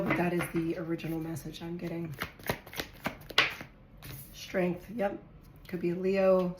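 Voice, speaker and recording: A woman with a hoarse, raspy voice, lower than most, chatting as she lays out tarot cards for her viewers. She thinks aloud as she draws each card. The room sounds echoey, with a faint hiss behind her. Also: a little mellow. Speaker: tarot reader. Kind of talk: casual tarot reading to viewers.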